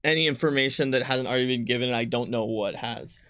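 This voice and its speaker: Male game designer, casual panel explainer, detailed and rambling, medium pitch, dry recording. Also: fast, fairly bright tone, smooth, dry recording. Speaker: game designer answering viewer questions on a livestream. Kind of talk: casual panel chat about game rules.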